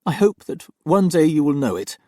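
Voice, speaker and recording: A man's voice: on the high side, big pitch swings, moderate pace, dark tone, dry recording, clean studio sound, voice pushed up. A man voicing a frightened young woman nanny, pleading earnestly through tears.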